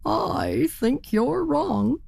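Woman voicing an earnest, drawn-out proud turkey. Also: high voice, animated, vocal fry, a little mellow, no room sound, pitched above the performer's own voice, exaggerated voice. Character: magnificent male turkey, proud adventurer, slow and earnest.

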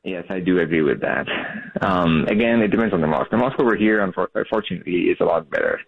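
Deep gravelly male caller on phone. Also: animated, fast talker. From Bangladesh. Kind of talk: phone-in call. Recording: muffled phone line.

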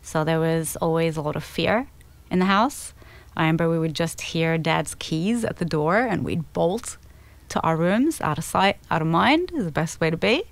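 A woman's voice: low-pitched, animated, moderate pace, vocal fry, dry recording. A woman from Egypt, a guest on an interview show, telling her life story in a candid, reflective way. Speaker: interview guest, author and speaker.

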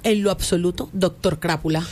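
A Colombian woman co-hosting a radio show, chatty and quick to laugh as she reads out listener messages. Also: on the low side, fairly even intonation, darkish tone, slight background noise. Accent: Colombian Spanish. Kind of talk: radio panel chat.

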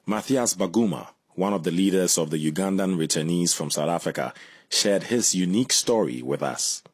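A man hosting a broadcast interview in a polite, measured way. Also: low-pitched, lively intonation, husky, no room sound, studio quality. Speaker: radio host.

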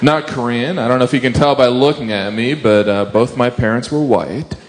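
A man doing a stand-up set to a live crowd, deadpan in manner. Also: medium pitch, brisk. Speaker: stand-up comedian. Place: comedy club stage. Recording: loud crowd and noisy club. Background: crowd laughing.

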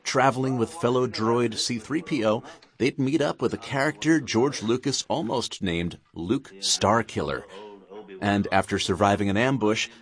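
A man hosting a short radio program, reading a script in a smooth announcer manner. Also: mid-range voice, moderate pace.

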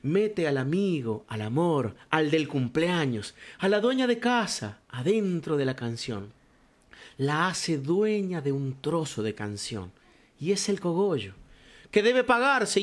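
Older man, high voice, storytelling radio columnist who says compadre. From Cuyo, Argentina. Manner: warm storytelling, addresses listener as compadre. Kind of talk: reflective spoken radio column.

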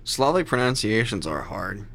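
Co-host, mid-pitched, hesitant and thoughtful, asks questions. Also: big pitch swings, normal speed, fairly bright tone. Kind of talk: casual chat.

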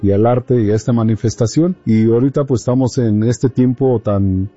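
A man from Mexico whose first language is Mazatec, speaking as a guest artist in an unhurried, friendly way on a radio show. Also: mid-range voice, mellow, dry recording, lo-fi sound, faint music behind. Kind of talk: radio interview answer.

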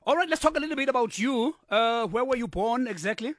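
A male radio host interviewing a guest live, who talks haltingly with many filler sounds. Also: extremely high pitch, lively intonation, background noise.

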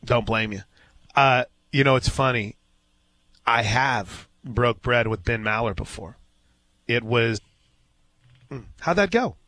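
A man's voice: mid-range voice, lively intonation, takes it slowly, pauses often, sharp tone, no room sound. A man hosting a live sports radio show, telling stories in an easygoing, folksy way. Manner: easygoing storyteller, folksy asides.

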